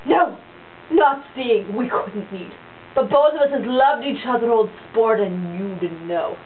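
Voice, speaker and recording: A woman's voice: a little high, expressive, a little slow, far from the mic. A woman voicing an earnest, lovesick rich suitor in an amateur puppet show, in an insistent manner. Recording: tinny lo-fi home recording with hiss.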